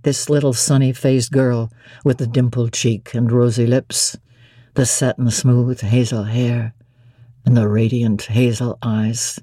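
A woman voicing a middle-aged gentleman lover in a classic novel, tender, teasing and commanding. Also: rumbling low, level tone, a little slow, no echo, studio quality, voice pushed down. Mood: doting. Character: deep-voiced adoring masterful gentleman lover, middle-aged. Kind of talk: dramatic classic novel reading.

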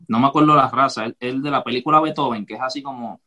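A man hosting a podcast, telling a childhood story in a relaxed, casual way and laughing easily. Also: mid-range voice, fast. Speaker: podcast host. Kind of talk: casual conversation.

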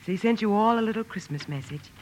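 An actress playing a gentle middle-aged mother in a radio drama, tender and composed. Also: medium pitch, expressive, fast, slight background noise, natural voice, rough recording. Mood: affectionate. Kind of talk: 1950s radio drama acting. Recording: old 1950s radio broadcast recording with music.

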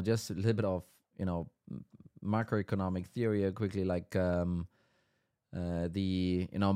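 A man's voice: low voice, darkish tone, smooth. A man hosting a casual business podcast, explaining things at length with many ums and restarts; his first language is Danish. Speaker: podcast host.

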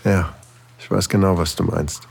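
Flirty single dad in a steamy audio play, really deep crackly voice, intimate, bit hesitant, slight hiss. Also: male voice, fairly even intonation, normal speed, creaky, a little mellow. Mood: casual, slightly awkward. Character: flirtatious single father narrating his inner thoughts. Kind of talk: intimate erotic audio drama with narration.

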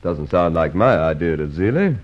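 An actor playing a stolid, gruff, skeptical police inspector in a mid-century radio drama, blunt and a little puzzled. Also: male voice, low voice, animated, normal speed. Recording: dull, muffled old radio recording.

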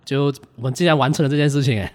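A man's voice: medium pitch, very fast. A man chatting casually as a guest amateur voice actor. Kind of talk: casual chat.

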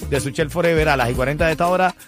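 A man with a Cuban Spanish accent hosts a morning radio show, moving through news and promos in a quick, upbeat announcer style. His voice sits fairly high, and music runs loud under it. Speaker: radio host.

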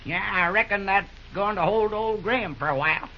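Male actor as plucky folksy railroader, high pitch, colloquial, emphatic, radio play, muffled vintage sound. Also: a little fast. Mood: jovial, relieved. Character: scrappy, optimistic small-town railroad loyalist. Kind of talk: old-time radio drama acting. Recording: muffled old-time radio recording.

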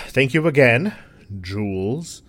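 An actor playing a formal, reserved leader in an audio drama, measured in speech and drily wry. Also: mid-range voice, lively intonation, fairly bright tone. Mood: formal, dry.

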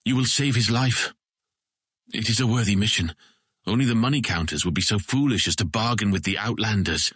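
An actor playing a proud, forthright and embittered frontier ranger in a fantasy audiobook. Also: male voice, lowish voice, a little fast, a bit bright, husky, dry recording, studio quality. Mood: grave. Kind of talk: dramatized fantasy audiobook acting.

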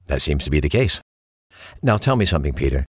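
An actor playing a calm, attentive male late-night radio host, measured and courteous as he probes his callers. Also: extremely low pitch, husky, dry recording, clean studio sound, exaggerated voice. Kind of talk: radio call-in acting in audio drama.

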